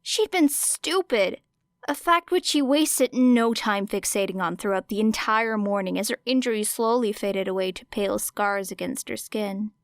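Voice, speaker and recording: High-voiced woman reading a story aloud. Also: no room sound, clean studio sound. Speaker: audiobook reader of fan fiction. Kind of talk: expressive scripted narration of a story.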